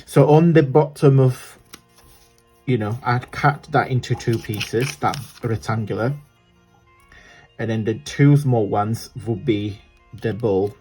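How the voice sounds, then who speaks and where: A man's voice: medium pitch, takes it slowly, pauses often, mellow, husky, faint music behind, rough recording. A man with an Italian accent, chatting casually while showing a crafting tutorial, rambling and correcting himself as he goes. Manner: chatty, rambling, self-correcting, laughs often. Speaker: craft vlogger.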